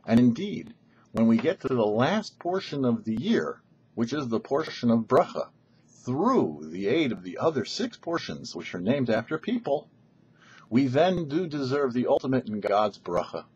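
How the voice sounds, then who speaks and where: A man's voice: mid-range voice, big pitch swings, moderate pace, a little mellow, lo-fi sound, a little hiss or hum. A man speaking as a rabbi and show host, giving a short scripted religious teaching in a didactic way with rhetorical questions. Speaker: rabbi, host of a weekly Torah portion show.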